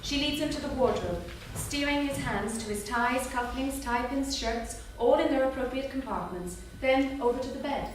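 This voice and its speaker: A woman's voice: high voice, moderate pace, hardly any pauses. A woman reading stage directions as scripted narration at a staged reading. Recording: noisy, very echoey theatre, distant mic.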